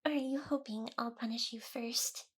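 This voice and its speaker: A woman's voice: a little high, fairly even intonation, moderate pace, fairly bright tone, slightly rough. A woman playing a smug, seductive, possessive demon boss in an ASMR roleplay, with a teasing, theatrical delivery. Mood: seductive whisper.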